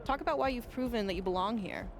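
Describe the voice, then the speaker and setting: A woman playing a brisk, supportive American sports publicist in a game cutscene, giving direct, businesslike coaching. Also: on the high side, noisy background, lightly acted. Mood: brisk, urging. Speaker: video game actor playing a supporting character. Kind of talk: naturalistic cutscene acting.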